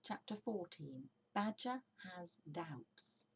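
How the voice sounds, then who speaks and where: A woman's voice: fairly low pitch, big pitch swings, takes it slowly, creaky, slightly rough. A woman narrating a children's storybook expressively, as a story reader.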